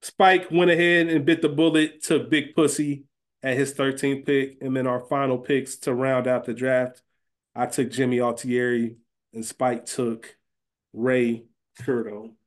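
A man's voice: mid-range voice, level tone, measured pace. A man chatting casually and emphatically as a podcast co-host, conversational in his delivery. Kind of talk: casual chat and recap.

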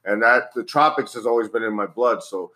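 A man's voice: fairly low pitch, fairly quick, pushed and bright. Rambling, laughing man from the Dominican Republic. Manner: rambling, laughs often, many fillers and restarts. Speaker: guest. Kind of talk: casual podcast chat.

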